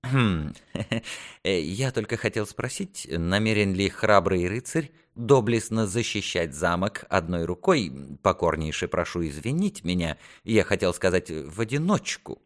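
A man's voice: low voice, expressive, unhurried. A man voicing a sly, sardonic physician in a storybook, mock-humble and obsequious. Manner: mock-humble, hesitant, chuckling. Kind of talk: audiobook character acting.

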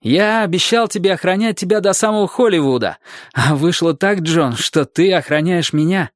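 A man's voice: sits high, animated, moderate pace, no room sound, studio quality, pitched above the performer's own voice. A man voicing a bold, loyal young adventurer in a storybook, speaking in earnest, emphatic exclamations.

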